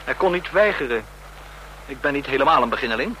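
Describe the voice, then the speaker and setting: A sharp, excitable young hothead. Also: male voice, medium pitch, fast. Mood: defiant. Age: young adult. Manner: biting, mocking, agitated. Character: hot-headed, jealous, accusing young man with a gun. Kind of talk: radio drama acting. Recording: noisy, humming old radio drama recording.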